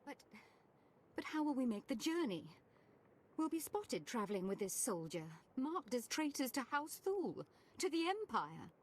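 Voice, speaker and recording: A woman's voice: high-pitched, very animated, moderate pace. A haughty, anxious noblewoman mother. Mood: worried, hesitant. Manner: haughty, formal, dramatic. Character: proud noblewoman, anxious protective wife and mother, disdainful. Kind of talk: video game cutscene acting.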